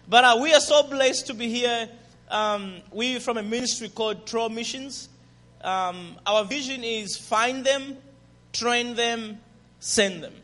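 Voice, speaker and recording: A visiting pastor with a Zambian accent preaches to a church with lively, friendly energy. His voice sits high for a man and rings through a reverberant hall. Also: slow. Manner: lively and friendly, with call-and-response and laughter. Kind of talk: guest sermon and ministry testimony. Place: church sanctuary.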